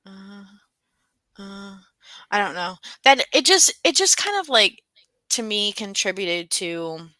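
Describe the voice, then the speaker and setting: A woman's voice: mid-range voice, big pitch swings, takes it slowly, pushed and bright, dry recording. A woman co-hosting a casual book discussion, talking conversationally and thinking aloud as she goes.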